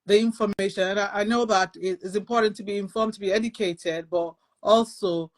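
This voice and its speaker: A woman from Nigeria hosting a live panel conversation, speaking in a halting, searching way. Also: medium pitch, fairly even intonation. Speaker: host of a live discussion.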